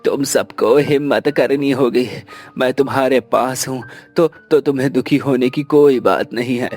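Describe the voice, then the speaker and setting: A man voicing an older, sorrowful village father in a Hindi cartoon, speaking in a halting, solemn, consoling way. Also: mid-range voice, a little mellow, faint music behind, natural voice. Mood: grieving, consoling. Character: sorrowful, steady village father consoling his grown sons. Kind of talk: Hindi cartoon story voice acting.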